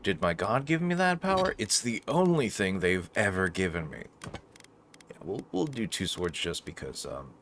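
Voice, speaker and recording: A man's voice: low voice, lively intonation, moderate pace, a bit bright, a little hiss or hum. A man hosting a game playthrough with casual, joking commentary and playful mock-heroic asides. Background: video game sounds.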